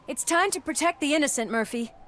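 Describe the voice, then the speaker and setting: An actress playing a tough, loyal police officer in a video game, brisk and no-nonsense. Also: female voice, high-pitched, lively intonation, a bit bright, slight background noise. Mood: determined. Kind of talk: video game cutscene acting.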